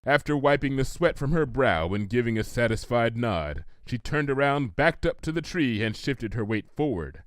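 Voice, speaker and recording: A man reading a scripted story aloud as an expressive narrator. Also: medium pitch, normal speed, few pauses, no room sound, clean studio sound.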